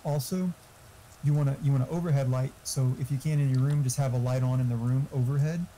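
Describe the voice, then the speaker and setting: Blunt, mellow man over noisy voice chat. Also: medium pitch, fairly even intonation, fast talker. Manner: explanatory, blunt, sweary. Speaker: friend giving tech advice on a voice call. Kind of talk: casual chat. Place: online voice chat.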